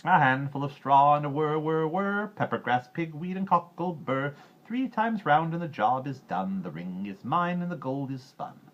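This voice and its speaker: A man's voice: a little high, expressive, moderate pace, highs cut off, pitched above the performer's own voice, natural voice. A man voicing a bizarre little storybook gnome who mocks, wheedles and chants in sing-song rhymes. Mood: gleeful chanting. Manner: sing-song, roaring and crooning with nonsense rhymes.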